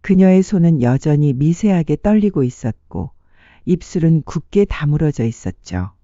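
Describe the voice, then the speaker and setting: A narrator reading a dramatic story in a measured, sentimental storytelling manner. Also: mid-range voice, very animated, dark tone, dry recording, muffled recording. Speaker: audiobook narrator.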